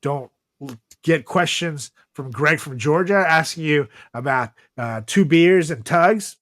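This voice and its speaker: A man's voice: a little high, lively intonation, measured pace, a bit bright, no room sound, studio quality. A man hosting a comedy podcast with casual, profane banter and theatrical announcer flourishes. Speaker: podcast host.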